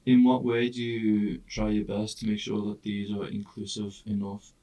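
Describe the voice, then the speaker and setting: A young man with a lowish voice asks questions in a polite, encouraging way. He sits slightly away from the microphone, so his voice carries a little distance. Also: flat delivery, normal speed, mellow. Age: young adult. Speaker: student interviewer.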